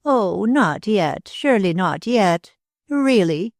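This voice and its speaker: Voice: medium pitch, lively intonation, a little slow, a little mellow, dry recording, clean studio sound, pitched above the performer's own voice. A reader voicing a middle-aged society hostess who gushes and babbles with shallow enthusiasm. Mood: gushing. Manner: effusive, babbling, emphatic. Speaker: literary hostess.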